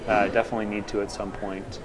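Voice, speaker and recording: A man co-hosting a casual pub conversation, talking in halting bursts with many fillers and restarts. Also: lowish voice, little pitch movement, noisy background. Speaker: podcast co-host and linguist. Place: noisy pub.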